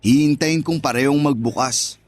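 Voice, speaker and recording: An actor playing an earnest, persistent and teasing suitor in a conversational romantic radio drama. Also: male voice, medium pitch, moderate pace, dry recording, lightly acted. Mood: earnest, wooing.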